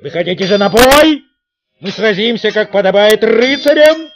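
Man acting a wrathful, high-pitched beetle king. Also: lively intonation, normal speed, music in the background. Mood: furious challenge. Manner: declamatory, pompous, indignant.

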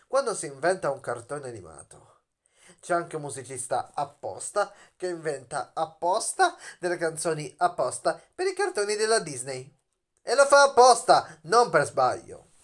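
A man's voice: high-pitched, a bit bright. A young man hosting a video in a playful, dramatic storytelling manner, as if explaining to children, recorded on a phone in a bedroom. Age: young adult. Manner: playful, dramatic storyteller with drawn-out words and questions. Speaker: video channel host. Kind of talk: theatrical children's explainer to camera. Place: small bedroom, lying on a bed indoors. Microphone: phone at arm's length.

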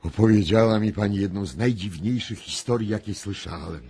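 A man's voice: fairly low pitch, darkish tone, close to an everyday voice. Man acting a solemn, intense clairvoyant. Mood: solemn, reflective. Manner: deliberate, dramatic, with weighty pauses. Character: male clairvoyant, solemn, intense, mysterious seer. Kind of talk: 1990s radio drama acting.

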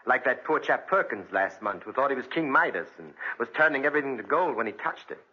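A breezy, worldly wastrel of a gentleman in a 1940s radio drama. The man's voice is bright and slightly rough, with animated pitch and a sardonic manner. The recording is dull and dated. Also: quick pace, full character voice. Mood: brisk, advising. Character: flippant, worldly spendthrift gentleman in debtors' prison.

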